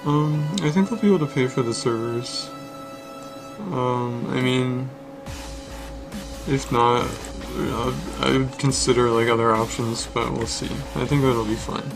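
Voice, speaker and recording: A relaxed young man streaming, walking viewers through code in a flat, easygoing way with lots of filler words. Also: medium pitch, measured pace. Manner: monotone, relaxed, lots of "like" and "basically". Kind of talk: casual coding stream walkthrough. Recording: music playing under the voice. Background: music and keyboard typing.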